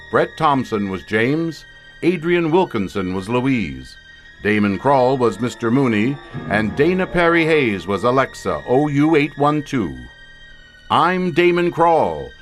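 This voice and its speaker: A man announcing a radio drama in a scripted, dramatic and deliberate delivery. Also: medium pitch, fairly bright tone.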